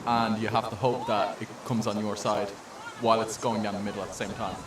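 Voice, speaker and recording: A man's voice: on the low side, flat delivery, fairly quick, crowd noise. A man as a co-commentator at a live match, giving short plain explanations of the rules. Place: outdoors at a sports field. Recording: distant echoey outdoor sound with clear noise.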